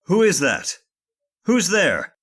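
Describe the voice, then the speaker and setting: A man acts out a stubborn, commanding gentleman from an old-time radio horror play. His pitch swings widely as he gives impatient orders in formal, old-fashioned phrases. He sounds stern and fully in charge. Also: wildly swooping pitch, takes it slowly, breathy edge, full character voice. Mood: alarmed. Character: Mr. Winton, stern, stubborn master leading a haunted-house search.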